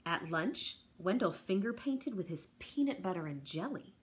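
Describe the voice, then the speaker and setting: A woman teacher reading a picture book aloud to children, expressive, with chatty asides to her young listeners. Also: lowish voice, normal speed, a little hiss or hum.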